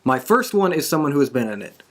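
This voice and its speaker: A man's voice: a little high, very animated, fast talker, a bit bright. A man hosting a casual, conversational discussion show about sports entertainment. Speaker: podcast host.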